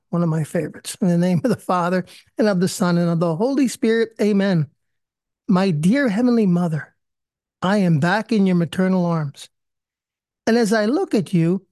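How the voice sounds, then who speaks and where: A man's voice: sits high, mellow, no echo, clean studio sound. Chatty man hosting a live stream. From US Northeast. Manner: friendly, rambling, self-deprecating. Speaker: host. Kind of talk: live-stream prayer and chat. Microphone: video call.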